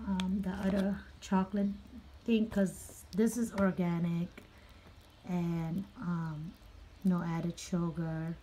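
A mother chatting casually off camera while showing her grocery haul, slow and full of ums. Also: mid-range voice, darkish tone, slight background noise. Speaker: vlogger, mother. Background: crinkling packaging and clicks.

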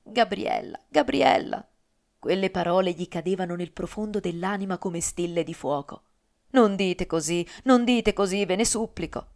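An earnest young nobleman torn between love and duty, speaking tenderly and solemnly, as a woman reader voices him. The tone stays low-set and measured, a figure from a storybook romance. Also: a little mellow, lightly acted. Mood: pleading. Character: young nobleman, tormented lover, earnest and dutiful.

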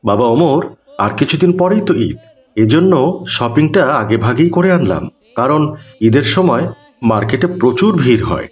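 A male actor voices an earnest family man in an Islamic cartoon. He is a kindly father with a mid-pitched voice who explains things in a measured, moralising way. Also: big pitch swings, natural voice. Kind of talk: Bengali Islamic cartoon dialogue.

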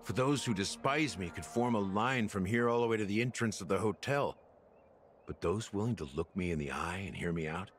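A male actor playing a weary, remorseful middle-aged officer in a video game, speaking slowly and broodingly with heavy pauses. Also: lowish voice, slight background noise, lightly acted. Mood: weary, sincere. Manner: slow, brooding, measured delivery with heavy pauses. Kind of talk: scripted video game dialogue.

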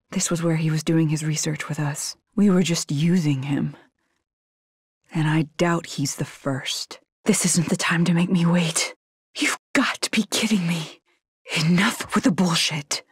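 A woman's voice: on the low side, a little slow, pauses often, husky, dry recording, clean studio sound. An actress playing a tough, determined young woman agent in a survival horror video game, speaking in terse, guarded bursts. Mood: grim, resolute.

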